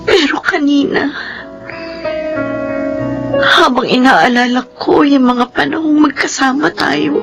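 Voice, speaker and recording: A remorseful daughter in a radio drama. Her voice sits high and moves slowly, halting and pleading with tender emotion, over clearly heard music. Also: exaggerated voice. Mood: remorseful, tearful. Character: remorseful adult daughter, self-blaming, tender toward sick mother. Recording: studio voice over prominent music.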